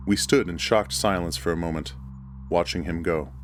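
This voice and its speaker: Male horror narrator, very low register, deliberate first-person storytelling, clear music bed.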